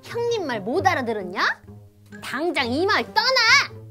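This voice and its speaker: A woman's voice: high-pitched, very animated, normal speed, loud music behind the voice. A woman playing a cruel, bossy, greedy elder brother in a children's storybook. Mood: harsh, commanding.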